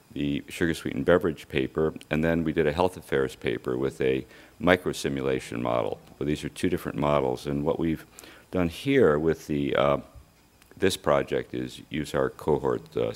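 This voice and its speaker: An older man giving a conference presentation at a lectern microphone, his voice lowered from illness, speaking haltingly with many uhs. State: voice lower than normal from illness. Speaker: research presenter.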